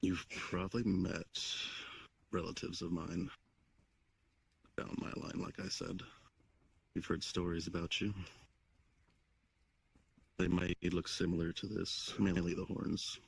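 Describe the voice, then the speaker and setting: A man plays a horned half-demon with a deep, gravelly voice. He is reserved and respectful, giving measured, cryptic answers in an improvised fantasy roleplay. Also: little pitch movement, heavily acted, low-quality recording. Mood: calm, cryptic. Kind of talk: improvised virtual-reality roleplay.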